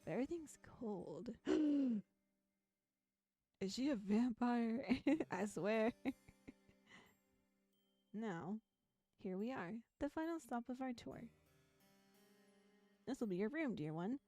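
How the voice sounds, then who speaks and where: A woman's voice: a little high, lively intonation, takes it slowly, pauses often, mellow. A woman streaming a visual novel, moving between reading aloud and casual commentary in a chatty, giggly manner. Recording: background music behind voice. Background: music.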